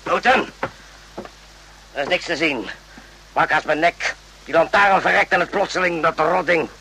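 Nasty tired army sergeant, old radio drama, high forceful voice, barks and curses, slight hiss. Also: male voice, normal speed, creaky, poor audio. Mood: exhausted, irritable. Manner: barking, cursing, demanding. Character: bullying, volatile, worn-out army sergeant.

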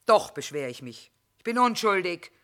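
A woman's voice: lowish voice, very animated, moderate pace, bright, forceful tone. An actress playing a shrewd, middle-aged female war trader, earthy and sardonic, in theatrical style. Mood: indignant. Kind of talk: theatrical stage acting with half-sung song.

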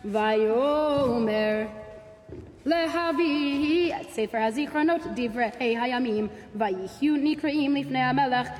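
A woman's voice: high voice, a little mellow, in a large hall, faint music behind. A woman narrating scripture in a chanted liturgical reading style.

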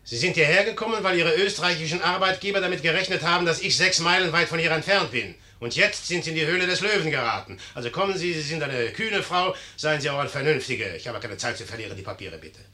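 An actor playing an imperious, impatient young general with a curt, commanding manner in a 1950s German radio play. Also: male voice, on the high side, expressive, fast talker, few pauses, pushed and bright. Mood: stern.

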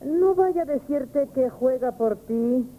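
An actress playing a sly, resentful scheming wife in a 1970s radio play. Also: female voice, sits high, lively intonation, slow pace, narrow, tinny audio. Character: spiteful scheming wife Asunción. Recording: old radio broadcast with faint hiss.

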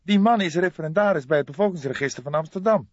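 Man putting on a high-pitched young detective sidekick. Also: quick pace, no echo, natural voice. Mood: puzzled. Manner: querying, slightly exasperated. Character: young male police detective, eager, weary, questioning sidekick. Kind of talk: audiobook character dialogue. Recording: dull lo-fi recording.

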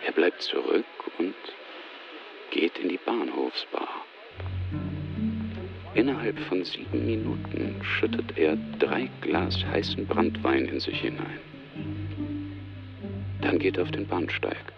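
An actor playing a terse, detached scene narrator in a radio drama, sober and matter-of-fact, with a dull recorded sound. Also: male voice, low-pitched, animated, slow pace, vocal fry, pauses often, music in the background, exaggerated voice. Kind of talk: scripted present-tense narration.

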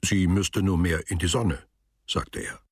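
A man narrating a literary radio drama as a sober, detached storyteller, with a deep and very husky voice. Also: unhurried, noisy background.

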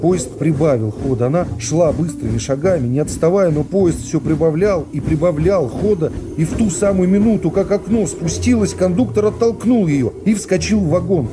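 A middle-aged man reading classic prose as an expressive dramatic narration for a radio serial. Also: fairly high pitch, moderate pace, hardly any pauses, background noise. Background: music.

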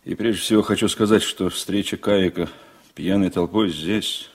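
A shrewd, seasoned male sailor in a radio drama. His voice is low, and he talks deliberately and frankly, like a loyal man sharing a confidence, with a wry edge. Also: fairly even intonation, slightly rough. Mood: confiding, earnest. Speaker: actor in a radio play. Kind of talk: 1980s Soviet radio drama acting.

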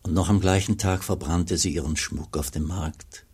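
An actor playing an old, pious, melancholy abbot in a German radio play, speaking gravely and solemnly as he looks back, with a gravelly, husky voice. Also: deep voice, steady tone, a little mellow. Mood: solemn, reflective. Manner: slow, solemn, measured storytelling.

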